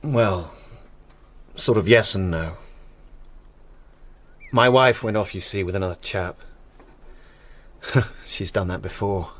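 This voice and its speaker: An actor playing a haunted young war veteran who restores church murals, in a naturalistic radio drama, hesitant in talk and eloquent about art. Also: male voice, low-pitched, slow, pauses often. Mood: reserved. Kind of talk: naturalistic radio drama, dialogue and reflective narration.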